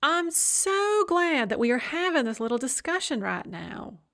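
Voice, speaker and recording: A woman's voice: high voice, big pitch swings, a little slow, fairly bright tone, voice pushed up, full character voice. A woman voicing a falsely sweet, condescending female college administrator who talks in a sing-song lilt. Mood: sugary.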